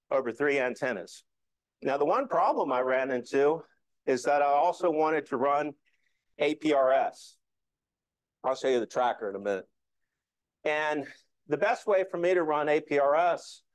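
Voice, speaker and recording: A man's voice: on the high side, no echo. Folksy man giving an informal talk. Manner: folksy, joking, hands-on explaining. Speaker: amateur radio club presenter.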